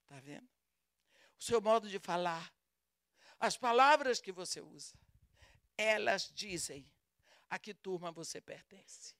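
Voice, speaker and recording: A woman's voice: medium pitch, animated, measured pace, pauses often, fairly bright tone, no echo, studio quality. A woman with a Brazilian Portuguese accent preaching a sermon, retelling a story with dramatic voices for the quoted lines.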